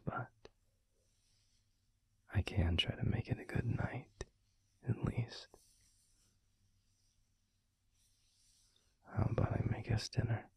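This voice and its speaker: A man performs a protective alpha werewolf boyfriend for an intimate audio roleplay. His voice is very husky and breathy, often dropping to a near whisper. He speaks slowly and soothingly, with a gentle, tender care. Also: on the low side, heavily acted. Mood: caring, gentle. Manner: slow, soothing, unhurried. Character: gentle, protective adult male werewolf boyfriend, pack leader.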